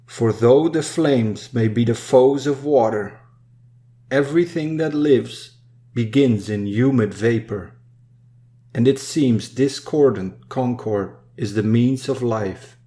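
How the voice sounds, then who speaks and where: A man reading a dark narrative poem in a solemn, measured storytelling manner. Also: medium pitch. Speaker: poem reader. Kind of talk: solemn scripted poetry recitation.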